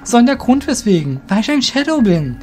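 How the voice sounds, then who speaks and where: A man plays a young magic apprentice with a raised, high voice, earnest and uneasy. He speaks like a troubled fantasy radio play hero, with music underneath. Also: sing-song, brisk, darkish tone. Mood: anxious, troubled. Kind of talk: German fantasy audio drama acting.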